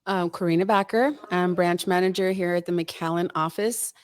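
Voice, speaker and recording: A woman's voice: fairly low pitch, steady tone, normal speed, clean tone, no room sound. A woman from South Texas, a branch manager guest, introducing herself with some hesitant fillers. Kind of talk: casual interview.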